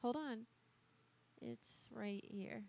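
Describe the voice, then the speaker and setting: A woman's voice: on the high side, drawn-out words, gravelly, warm tone, slight background noise. A woman co-hosting a live prank call show in casual banter, blunt and crude.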